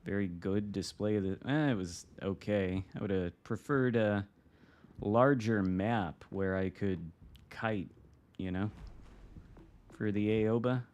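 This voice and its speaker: A man from Michigan with an American accent, commenting casually while streaming a game from home, wry and relaxed. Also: on the low side, slow pace, highs cut off. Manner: relaxed, wry, reacting to the game with mild swearing. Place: home room.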